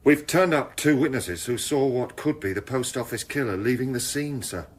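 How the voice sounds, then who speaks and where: A man's voice: mid-range voice, normal speed, breathy edge, natural voice. A man playing an earnest, principled detective in a radio drama, respectful in address but dogged, speaking naturalistically. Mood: earnest, businesslike.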